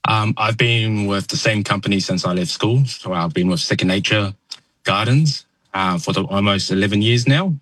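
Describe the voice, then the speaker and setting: A young man from New Zealand with a New Zealand accent, a soft-spoken, halting radio interview guest who fills pauses with ums. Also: fairly low pitch, slightly rough, no echo. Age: young adult. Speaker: guest landscaper.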